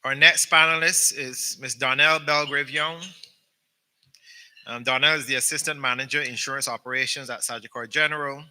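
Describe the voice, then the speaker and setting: Halting man moderating a panel. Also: medium pitch, fairly even intonation, bright, forceful tone, poor audio. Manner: halting, many ums and repeated words. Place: conference hall.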